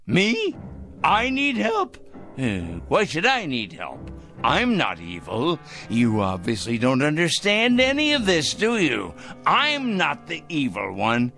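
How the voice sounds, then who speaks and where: High-voiced, wildly animated paranoid crank. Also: male voice, slow, faint music behind, heavily acted. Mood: agitated, indignant. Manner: ranting, excitable, muttering and crooning to a pet. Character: fanatical, paranoid, self-righteous male crank obsessed with punishing evildoers. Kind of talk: theatrical radio drama acting.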